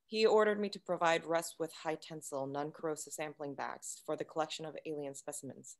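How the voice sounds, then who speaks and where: Secretive android science officer, read by a woman: lowish voice, measured, coolly explanatory, sci-fi screenplay. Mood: matter-of-fact.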